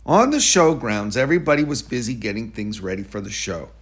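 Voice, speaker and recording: A man reading a children's story aloud in an expressive storytelling style. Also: mid-range voice, a little fast, husky. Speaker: storybook reader.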